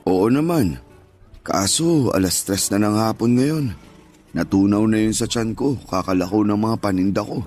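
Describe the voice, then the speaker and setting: A man's voice: on the low side, normal speed, darkish tone, soft background music, lightly acted. A man playing a humble, weary older street peddler in a radio drama, plain-spoken and grateful. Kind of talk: Tagalog radio drama acting.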